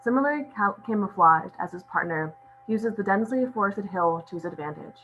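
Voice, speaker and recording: A woman with a medium-pitched voice reads stage directions at a table read. The recording carries faint noise and hum. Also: expressive, brisk, fairly bright tone, lo-fi sound. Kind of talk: scripted narration at a table read.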